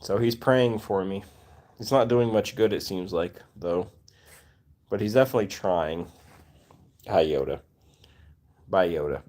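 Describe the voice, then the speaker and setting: A man's voice: low-pitched, measured pace, pauses often, darkish tone. A man in his forties hosting a casual cat livestream, rambling with fillers and restarts and talking to his cats between viewer comments. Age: 40s. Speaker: cat channel livestream host.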